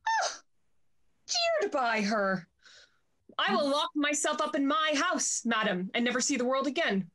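A woman's voice: high-pitched, very animated, a little slow, long pauses, a bit bright, exaggerated voice. A woman playing a bitter, proud society lady in a classical stage comedy, scornful and theatrical. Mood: bitter, wounded. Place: indoors at home, on a video call.

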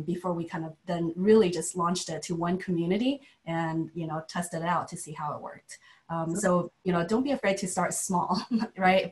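Relaxed low-voiced woman panelist. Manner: relaxed, many fillers, storytelling. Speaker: panelist, engineering leader. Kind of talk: video call panel discussion. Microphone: video call.

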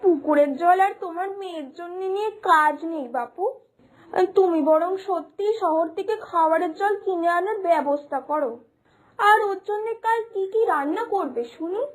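Lady doing a bossy village auntie cartoon neighbour, super high voice, nagging n scolding, full of opinions. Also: moderate pace. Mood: disdainful, bossy. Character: scolding, bossy village neighbour woman, an elder sister figure. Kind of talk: dramatised cartoon dialogue.

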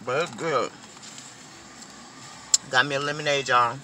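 A woman's voice: a bass voice, steady tone, unhurried, noisy background. A middle-aged woman food vlogger chatting animatedly to viewers while eating in a car, recorded on a dashboard phone camera. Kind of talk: casual eating vlog. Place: inside a car.

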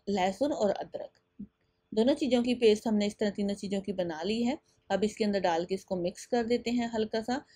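Calm woman narrating a home recipe. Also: mid-range voice, no room sound, studio quality. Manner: patient, instructive, addresses viewers directly. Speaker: cooking channel host. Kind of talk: step-by-step recipe voice-over.

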